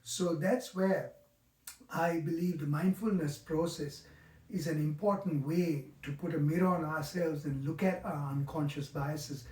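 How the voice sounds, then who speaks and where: A man in his sixties with a Sri Lankan accent gives a reflective, explanatory talk in an earnest, halting manner, stressing key words. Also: on the high side, fairly even intonation, a little mellow. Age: 60s. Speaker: mindfulness teacher. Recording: roomy, echoing, distant microphone.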